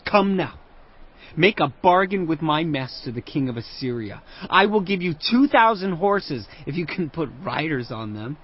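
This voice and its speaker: An actor playing an arrogant Assyrian field commander who taunts a besieged city with loud, mocking declamation. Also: male voice, on the high side, very animated, normal speed, breathy edge. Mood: taunting. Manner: boastful, mocking, declaiming loudly. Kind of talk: dramatized audio Bible acting. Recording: muffled lo-fi audio under music. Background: cinematic music.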